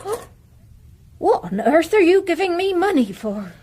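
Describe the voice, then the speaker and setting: An actress playing a bitter, evasive Victorian married Englishwoman in an audio drama, husky and defensive. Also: very high-pitched, very animated, unhurried, darkish tone, full character voice. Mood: indignant, defensive. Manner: indignant, defensive. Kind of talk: period audio drama acting.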